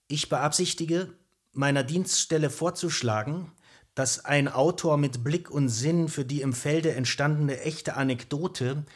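An actor playing a formal Wehrmacht captain reading out an official letter in a stiff, bureaucratic, measured manner in a radio play. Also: male voice, medium pitch, husky.

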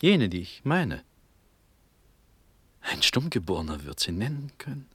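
An actor playing a dazed, dreamy young Prussian prince in a verse radio drama, fervent and halting, with breathy speech drifting into whispers. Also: male voice, fairly low pitch, big pitch swings, dry recording, exaggerated voice. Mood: dreamy, searching. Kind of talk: classical German stage verse radio drama.

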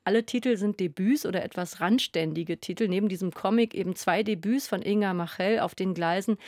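A woman working as a literary critic, explaining in a measured, articulate way during a radio arts conversation in a studio. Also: medium pitch, a little mellow, no room sound. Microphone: studio microphone.

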